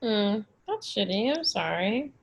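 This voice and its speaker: Creaky-voiced woman, blunt. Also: mid-range voice, very animated, a little slow. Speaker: podcast cast member. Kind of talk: casual chat.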